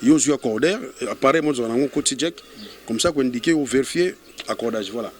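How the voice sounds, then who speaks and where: A man with a Congolese accent chatting casually as an interview guest in French and Lingala, halting and repeating words. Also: medium pitch, slight background noise. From Kongo Central, DR Congo.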